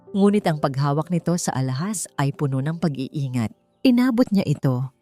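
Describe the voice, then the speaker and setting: Female Filipino narrator, slightly low register, deliberate dramatic delivery for scripted romance fiction, faint music bed. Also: darkish tone. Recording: music behind the voice. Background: background music.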